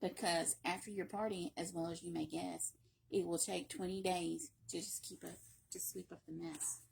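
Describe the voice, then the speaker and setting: A woman reading a children's picture book aloud in a playful, expressive way, with emphatic repetition and chatty asides. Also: fairly low pitch, normal speed, vocal fry, slight background noise, rough recording. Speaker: library read-aloud reader.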